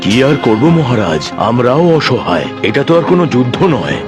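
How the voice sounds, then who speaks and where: A man's voice: medium pitch, very animated, normal speed, close to an everyday voice. A man playing a helpless, deferential royal minister in a cartoon fairy tale. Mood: resigned. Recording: music behind the voice.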